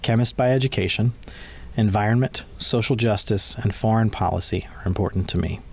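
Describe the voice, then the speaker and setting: Lowish-voiced man in his forties. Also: a little fast, vocal fry, slightly rough. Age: 40s. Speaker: conversation participant. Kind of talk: personal recorded conversation, partly read aloud.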